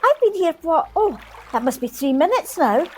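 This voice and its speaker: A woman plays an excitable, chatty Scottish flower seller in a radio play. Her voice is very high and swoops about with lively intonation. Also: normal speed, a little hiss or hum. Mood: excited, gushing. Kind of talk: amateur radio play acting.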